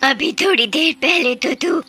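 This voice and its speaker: A woman voicing a menacing, demanding witch in a cartoon story, with threatening, drawn-out taunts. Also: sits high, bright, forceful tone, voice pushed up, full character voice. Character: menacing female witch demanding marriage from a king. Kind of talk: dramatic cartoon story voice acting.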